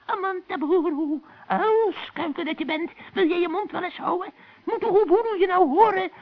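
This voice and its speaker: Cranky scheming old witch, very high shrill cackle, scolding and wheedling, muffled children's radio play. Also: normal speed. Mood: irritated scolding.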